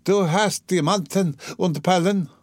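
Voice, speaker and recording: A dying mother in a classic novel, acted by a male narrator, pitched well up from his own voice. She sounds faint and gasping, bitter and delirious, rambling fretfully and dramatically in a dry recording. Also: unhurried, darkish tone, no room sound. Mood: feverish, rambling.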